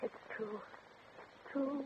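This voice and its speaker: Fluttery, shy spinster on tinny old radio. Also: female voice, high voice, drawn-out words, slight background noise. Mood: wistful, earnest. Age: older. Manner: hesitant, fluttery, easily flustered. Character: shy, lovelorn, nervous old-maid tea room owner. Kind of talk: 1950s radio comedy acting.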